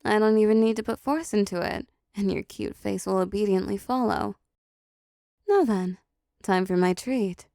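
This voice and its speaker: A woman playing a seductive, possessive female demon in an intimate roleplay audio drama, talking slowly and teasingly to the listener with condescending endearments. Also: mid-range voice, fairly even intonation, vocal fry, dark tone, dry recording, clean studio sound, lightly acted.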